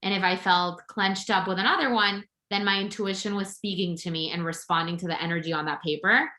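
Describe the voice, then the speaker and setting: A woman's voice: lowish voice, steady tone, fairly quick, pushed and bright, roomy sound. A woman from Venezuela, a podcast guest and intuitive coach, telling her story in an open, upbeat way. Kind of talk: casual interview chat.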